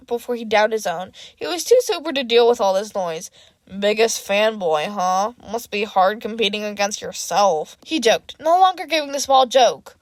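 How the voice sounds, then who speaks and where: A woman narrates fiction in a voice on the high side. The sound is dry, with very little room in it. She reads from a script and occasionally catches and corrects a slip. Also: dry recording. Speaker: audiobook narrator of fan fiction.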